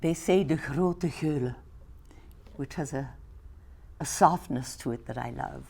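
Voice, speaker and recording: A woman in her eighties from Belgium, a native Flemish speaker with a Flemish accent, reading her poetry softly and with measured care, sometimes dropping to a whisper. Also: low-pitched, vocal fry, husky. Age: 80s. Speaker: poet.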